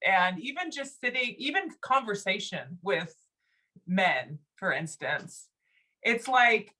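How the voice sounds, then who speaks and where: A young adult woman from Texas, a singer talking as a guest in a casual conversation, laughing often and getting loud when excited. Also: medium pitch, slow pace. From Texas, USA.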